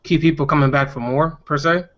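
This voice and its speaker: A man's voice: a little high, fairly even intonation, brisk, fairly bright tone. A man casually hosting and moderating a group discussion. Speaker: discussion host. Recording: dull lo-fi audio.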